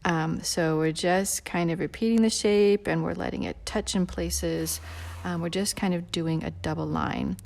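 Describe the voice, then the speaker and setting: A woman with a low, gravelly voice talks viewers through a drawing step by step. She rambles easily with plenty of fillers and slips into soft near-whispers as she concentrates. Her level drifts a little as she leans toward and away from the mic. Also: fairly even intonation, a little slow, hardly any pauses. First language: not Portuguese. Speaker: drawing instructor. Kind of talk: live follow-along drawing tutorial.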